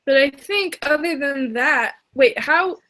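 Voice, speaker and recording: A young woman in her early twenties hosting a casual video call, chatty and quick to laugh. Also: sits high, expressive, a bit bright, slight background noise. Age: young adult. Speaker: livestream host. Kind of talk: casual group video chat. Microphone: computer mic on a video call.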